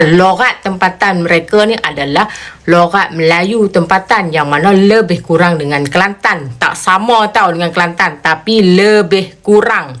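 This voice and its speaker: A woman's voice: on the low side, a bit bright. A woman from southern Thailand with a Southern Thai Malay accent, explaining things in a direct, matter-of-fact way. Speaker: social media influencer. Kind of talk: casual explanation to camera.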